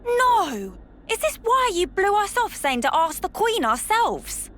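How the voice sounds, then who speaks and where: A feisty young fighter woman from a video game. Her high, very animated voice is colloquial and outspoken, with faint noise behind it. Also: normal speed. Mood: indignant. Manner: colloquial, emphatic.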